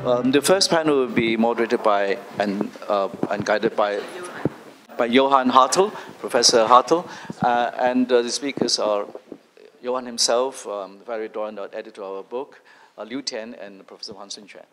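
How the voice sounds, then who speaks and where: A man's voice: mid-range voice, normal speed, fairly bright tone, slight background noise. A man announcing a panel in a small theatre, hesitant and full of ums and uhs. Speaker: event announcer.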